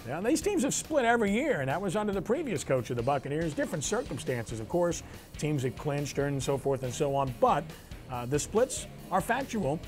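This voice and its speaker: A man with a somewhat high-pitched voice presents a sports program. His phrasing is punchy and clipped, like an announcer reading scores and promos. Music plays behind him. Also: sing-song, brisk. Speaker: sports talk show host. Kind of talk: sports show commentary and read promos.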